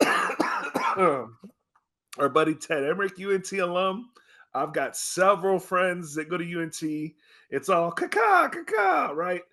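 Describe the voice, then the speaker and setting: A man hosting a casual sports podcast. He is jokey and excitable and keeps the talk playful. His voice sits a little higher than most men's. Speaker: podcast host.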